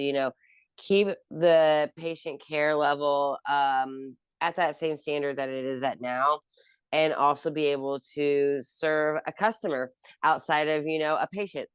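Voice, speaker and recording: A woman's voice: rumbling low, smooth, dry recording. A woman as an interview guest, chatting casually about her work, rambling with lots of fillers and restarts. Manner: rambling, heavy with "um" and "you know" fillers and restarts. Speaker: interview guest, cannabis company founder.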